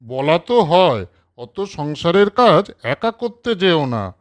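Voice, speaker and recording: A man's voice: fairly high pitch, big pitch swings, takes it slowly. A man voicing a middle-aged father who coaxes and chides his wife in a storybook cartoon. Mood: coaxing. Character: middle-aged father, gently coaxing husband.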